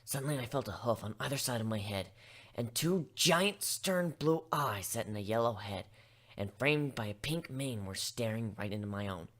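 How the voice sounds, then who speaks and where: A woman's voice: extremely low pitch, lively intonation, moderate pace. A woman reading scripted first-person story narration in a dramatic style.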